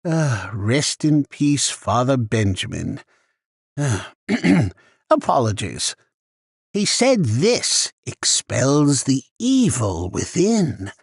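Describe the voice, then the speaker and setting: An actor voicing a chatty, wry male shopkeeper in a video game, with a theatrical, deliberate sales patter. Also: medium pitch, sing-song, vocal fry, husky, dry recording, studio quality, heavily acted. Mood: musing, solemn. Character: chatty male shopkeeper, wry, easily annoyed arms trader. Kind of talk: scripted video game character lines.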